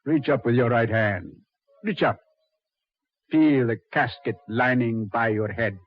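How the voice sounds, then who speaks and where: Slow, suave, mocking Satan played by a man. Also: medium pitch, lightly acted. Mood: cool, commanding. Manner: unhurried, sardonic, theatrically polite. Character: Satan, a suave, mocking boatman of dead souls. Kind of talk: 1940s radio horror acting. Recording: dull 1940s radio recording.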